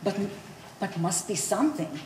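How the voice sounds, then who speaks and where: On an echoey stage, a woman plays a devoted immigrant mother. Her broken English comes in short, blunt phrases with swinging pitch, over faint noise. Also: big pitch swings, normal speed, darkish tone, close to an everyday voice. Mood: firm, insistent. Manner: terse broken English, dropped words. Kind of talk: live one-act stage play. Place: art gallery performance space.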